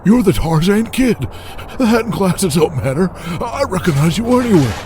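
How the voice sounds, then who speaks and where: An actor playing a sleazy, whiny small-time crook who stammers and pleads in an audio drama. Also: male voice, sits high, lively intonation, moderate pace, warm tone, a little hiss or hum. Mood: excited recognition.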